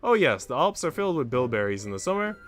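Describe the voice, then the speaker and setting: A man's voice: mid-range voice, very animated, quick pace, soft background music, natural voice. A man voicing a sweet, earnest young Swiss maid from a visual novel in a wistful manner. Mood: dreamy, wistful.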